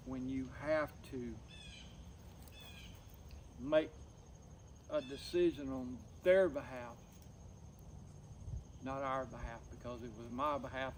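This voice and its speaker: A middle-aged man talking personally to camera, subdued and grieving, in a halting, plain-spoken, folksy way. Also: medium pitch, slight background noise. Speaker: outdoors vlogger.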